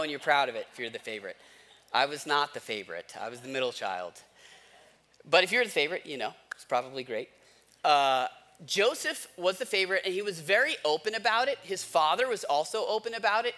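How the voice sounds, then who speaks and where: A man's voice: a little high, expressive, a little fast, bright, forceful tone. An American man preaching a sermon as a pastor, telling stories informally with wry asides and speaking directly to his listeners. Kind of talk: conversational storytelling sermon.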